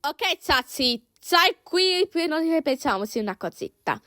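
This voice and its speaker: A woman's voice: high-pitched, very animated, a little slow, pushed and bright, no echo, clean studio sound. A woman doing an amateur cartoon fan dub, acting out several characters in an exaggerated, exclamatory way.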